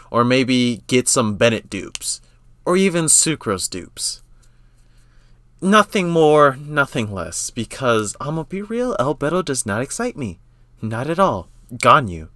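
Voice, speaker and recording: Young guy doing a game trailer reaction, really animated, slangy, dry close sound, repeats himself. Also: mid-range voice, no echo. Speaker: reaction video host. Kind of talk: casual excitable gamer reaction commentary.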